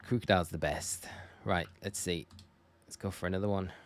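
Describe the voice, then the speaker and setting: Low-voiced northern English man, chatty streamer. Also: fairly even intonation, normal speed. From northeast England. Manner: chatty, thanking and addressing viewers informally. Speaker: live streamer. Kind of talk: casual livestream chat while gaming.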